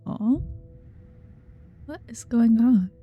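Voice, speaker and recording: A woman's voice: on the high side, extremely slow, lo-fi sound. A woman casually commenting on a video game playthrough with puzzled, murmured reactions over game music. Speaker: game streamer. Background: game music and game dialogue.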